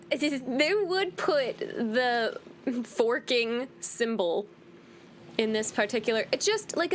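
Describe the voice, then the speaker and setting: Wry woman with a highish voice, gaming commentary. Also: slight background noise. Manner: wry, irreverent, teasing. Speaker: gaming video host. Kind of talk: casual game playthrough commentary.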